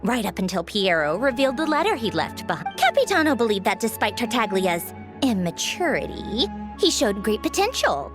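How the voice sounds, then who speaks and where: A haughty high-ranking noblewoman from a video game. She is poised and refined, teasing with a smug, condescending edge. Her pitch swings expressively, and music plays clearly behind her. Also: big pitch swings, moderate pace. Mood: confiding, composed. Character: haughty, teasing noblewoman harbinger fond of tea parties. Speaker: high-ranking official of an organization. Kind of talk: scripted video game character dialogue.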